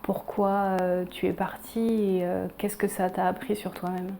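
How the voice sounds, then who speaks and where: A woman with an accent from France speaks reflectively about herself in a documentary interview, often halting mid-phrase. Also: medium pitch, flat delivery, creaky, dark tone. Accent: French from France. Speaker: painter, interviewee. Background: background music.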